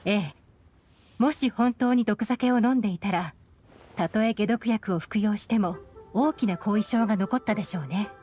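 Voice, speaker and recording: A woman's voice: medium pitch, moderate pace, dark tone, natural voice. A woman playing a self-assured, sly young intelligence agent in an anime game, composed, teasing and knowing. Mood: composed explaining. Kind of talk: Japanese anime game voice acting. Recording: music behind the voice.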